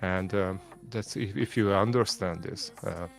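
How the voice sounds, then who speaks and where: A man from Hungary, speaking English as a second language with a Hungarian accent, halting and full of fillers as a guest on a live stream. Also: deep voice, vocal fry. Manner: halting, repeats words, many fillers. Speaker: guest trader. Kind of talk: casual live-stream chat.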